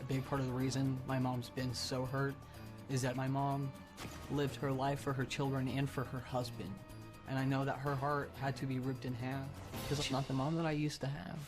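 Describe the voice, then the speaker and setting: Flat-voiced young man over music. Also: medium pitch, normal speed. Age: young adult. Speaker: interviewee in a taped segment.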